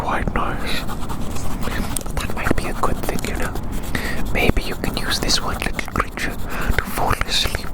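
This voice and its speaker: An ASMR host with a British accent, rambling playfully and repeating phrases, close to the microphone. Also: rough recording. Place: outdoors in a windy public spot. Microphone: close microphone with fluffy wind covers. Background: wind.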